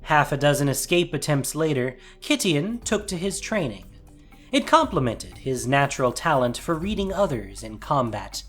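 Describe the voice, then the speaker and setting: A man's voice: fairly high pitch, fairly bright tone. A man narrating a fantasy audio drama as a scripted, dramatic storyteller.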